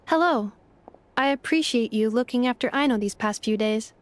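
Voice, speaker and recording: An actress playing a polite, loyal robot assistant in a video game, with a formal, measured, deadpan delivery. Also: female voice, on the high side, lightly acted. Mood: polite, calm. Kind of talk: video game dialogue acting.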